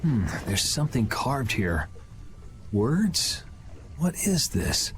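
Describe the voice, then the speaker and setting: Laid-back young game hero, mid-range voice with lively swings, faint ambience. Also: male voice, medium pitch, takes it slowly, a little hiss or hum, heavily acted. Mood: cool, curious. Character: cool, independent young male swordsman, wry rebel. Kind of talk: video game voice acting.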